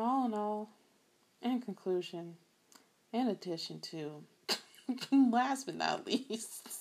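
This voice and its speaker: A woman hosting a solo podcast, talking casually in a soft voice, rambling a bit and chatting straight to her listeners. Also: mid-range voice, gravelly. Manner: rambling, laughs often, addresses listeners as y'all. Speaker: podcast host.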